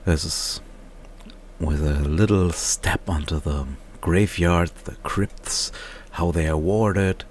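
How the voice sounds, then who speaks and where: Deep male voice, slow delivery, casual reviewer, halting and unscripted. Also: fairly even intonation, a little mellow, a little hiss or hum. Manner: halting, searching for words, often chuckling to himself. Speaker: reviewer, video host. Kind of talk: casual off-the-cuff book review.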